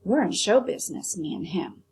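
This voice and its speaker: A woman's voice: mid-range voice, animated, moderate pace, a little mellow, husky, whispered. A woman voicing a poor, polite street boy from a storybook. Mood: matter-of-fact. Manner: plain, deferential, folksy. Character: poor street boy, polite, humble, folksy Southern kid.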